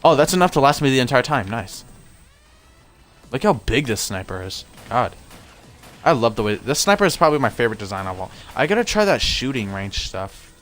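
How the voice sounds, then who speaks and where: A man's voice: mid-range voice, big pitch swings, fairly quick, fairly bright tone, faint music behind. A young man giving rambling, casual commentary while streaming a game at home, his voice worn out from a long session. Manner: chatty, rambling, self-correcting. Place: home room.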